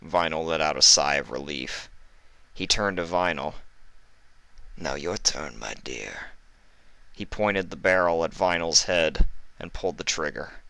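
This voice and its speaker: A man's voice: sits low, moderate pace, pushed and bright, clean tone, no echo. A man reading fan fiction aloud as a dramatic story narrator.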